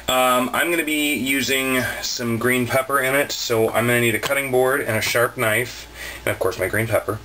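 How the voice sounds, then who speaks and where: A man's voice: mid-range voice, noisy background. Chatty man cooking in his kitchen. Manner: chatty, self-mocking, playful asides. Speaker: home cooking vlogger. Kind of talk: casual cooking demonstration. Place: small home kitchen, indoors. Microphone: handheld camera mic, close. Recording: home camera with kitchen clatter.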